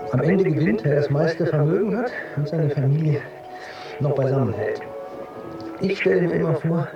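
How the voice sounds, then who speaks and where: An actor in a German radio drama playing an anxious, stubborn hypochondriac who broods and justifies himself in a naturalistic way. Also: male voice, mid-range voice, vocal fry, dull audio. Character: anxious, stubborn male hypochondriac, technical editor.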